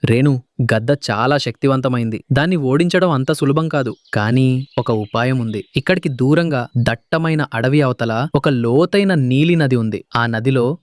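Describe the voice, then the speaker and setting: A man's voice: medium pitch, moderate pace, dry recording, studio quality, natural voice. A man playing a kind, wise parrot in a children's story, speaking with concern and giving advice. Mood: advising. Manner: concerned, advising.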